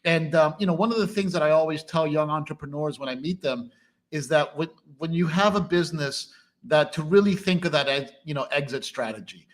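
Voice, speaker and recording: A man's voice: fairly high pitch, brisk. A man hosting an interview, asking questions and responding with enthusiastic affirmations. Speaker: podcast host.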